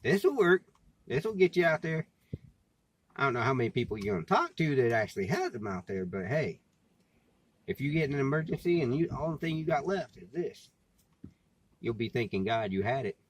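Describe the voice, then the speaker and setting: A man's voice: mid-range voice, very animated, moderate pace, pauses often. An American man in casual, rambling vlog talk, plain-spoken and folksy, often repeating his phrases. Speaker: vlogger and CB radio hobbyist. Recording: clearly noisy background, amateur recording.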